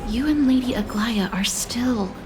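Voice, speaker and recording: A woman voices an earnest young companion in a fantasy game. The voice is fairly high, polite and hesitant, over clearly heard music. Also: unhurried, lightly acted. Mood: earnest, tentative. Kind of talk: video game voice acting.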